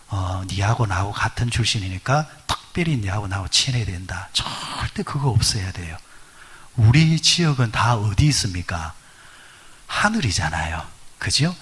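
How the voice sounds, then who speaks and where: A man's voice: on the low side, fairly bright tone, slightly rough. A middle-aged man preaching a sermon at a midweek prayer service. He talks conversationally, asks the congregation questions, and uses many fillers. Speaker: pastor.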